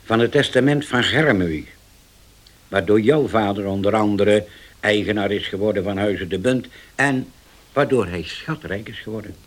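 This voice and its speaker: An actor in a Dutch radio play as a scheming older uncle, husky, halting and condescending. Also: male voice, on the low side, natural voice. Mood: deliberate, narrating. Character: scheming older uncle, pious-sounding, domineering and deliberate.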